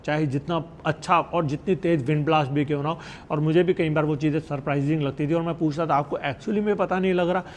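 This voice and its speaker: A man with a slightly high voice talks casually to viewers as a gear reviewer. He is conversational and drops in English words as he explains. There is faint noise behind him. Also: a little mellow. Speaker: motorcycle vlogger reviewing gear. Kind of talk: casual product review to camera.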